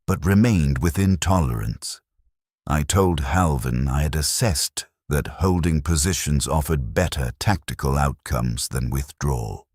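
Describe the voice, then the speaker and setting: A man narrating a scripted first-person war story in short, clipped sentences with a grave, measured delivery. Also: a bass voice, slightly rough, no echo, studio quality.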